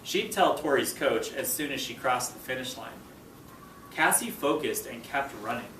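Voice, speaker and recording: A male teacher addresses a class with clear, step-by-step direction, moving between instruction and reading aloud. His mid-pitched voice is picked up from across a roomy classroom, with faint noise in the recording. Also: animated, moderate pace, a bit bright, recorded from a distance. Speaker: fifth grade teacher. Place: indoor school classroom.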